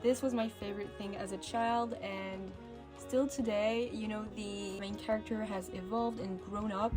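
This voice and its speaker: A woman's voice: mid-range voice, fairly even intonation, measured pace, music in the background. A young woman whose first language is French, chatting casually and cheerfully with lots of fillers as an art vlogger. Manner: bubbly, chatty, with many fillers and restarts. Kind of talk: casual chat over crafting.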